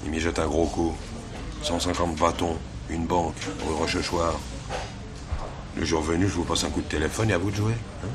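A deep-voiced petty crook played by a man in a French radio drama, sly and full of slang. He wheedles and bargains like a practised informant, over clear background noise from an old recording. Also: fairly even intonation, normal speed, natural voice. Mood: sly, bargaining.

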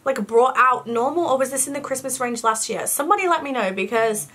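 Chatty girl doing a haul, bit croaky from a cough, higher voice, rambly with lots of ums. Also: fairly quick, pushed and bright. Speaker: beauty vlogger. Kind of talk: casual product haul. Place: bedroom, indoors.

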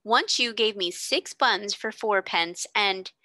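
A woman voicing a kind, earnest young girl who speaks gently and politely. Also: medium pitch, slow pace, dry recording.

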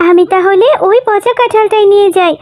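A woman's voice: extremely high pitch, steady tone, a little fast, a little mellow. A woman voicing a sweet, eager little girl fox cub in a cartoon, childlike and pleading. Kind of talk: cartoon voice acting. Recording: music under the voice.

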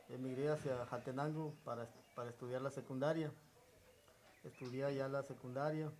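Man, southern Mexican accent, slightly high pitch, relaxed reminiscing, halting, background noise. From Chiapas, southern Mexico. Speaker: interview guest. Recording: noticeable background ambient noise.

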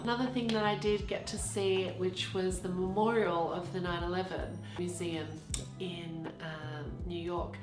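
A croaky woman with a cold narrates her unboxing vlog in a relaxed, chatty way, gushing over every detail of the packaging. Her voice carries a roomy echo, and music plays clearly behind her. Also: on the low side. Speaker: vlogger. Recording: roomy sound with background music.

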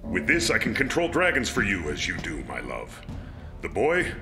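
An actor playing a huge, cruel, domineering father with a booming, menacing delivery in a fantasy audio drama. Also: huge pitch swings, normal speed, gravelly, heavily acted. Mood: scheming. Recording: music under the voice.

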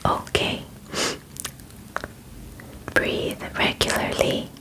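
A young woman in a soft, breathy whisper, speaking calmly and clinically in an ASMR examination roleplay, close to the microphone. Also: rough recording. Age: young adult. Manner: slow, calm, clinical, formal phrasing. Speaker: ASMR performer. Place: small bedroom, indoors. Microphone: close microphone, out of frame.

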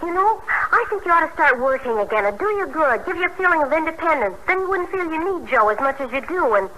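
An actress playing a brash, slangy married friend in an old radio drama, emphatic and cynical about men. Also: female voice, high-pitched, animated, fast talker, pushed and bright. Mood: reassuring. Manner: emphatic, slangy, advice-giving. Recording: faintly noisy old radio recording.

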